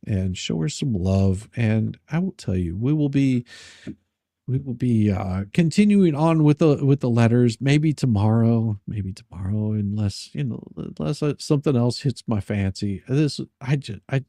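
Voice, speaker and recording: A man's voice: medium pitch, lively intonation, normal speed, dark tone, dry recording, studio quality. A man hosting a casual livestream, rambling and repeating his words, with a crude, joking streak. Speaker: livestream host.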